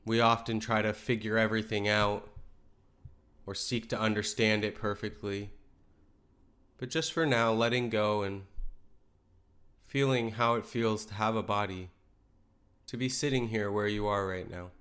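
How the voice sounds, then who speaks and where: A man leading a guided meditation in a calm, gentle, unhurried way, letting his phrases settle softly. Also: lowish voice. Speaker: meditation teacher.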